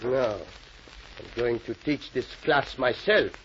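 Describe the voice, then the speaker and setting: An actor playing a stern, domineering Nazi German school official in 1940s radio drama, giving imperious, clipped orders. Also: male voice, mid-range voice, slow pace, lightly acted. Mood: fanatical, insistent. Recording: muffled, noisy old radio recording.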